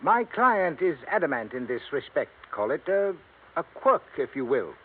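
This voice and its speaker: A man's voice: on the high side, sing-song, moderate pace, slightly rough, narrow, tinny audio. A man playing a guarded, formal senior attorney in an old radio drama, precise and a little pompous. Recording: thin, band-limited vintage radio recording.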